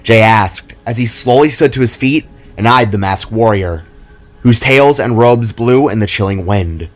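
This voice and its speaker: A man reading scripted fantasy narration in a measured, dramatic storytelling manner. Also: fairly low pitch.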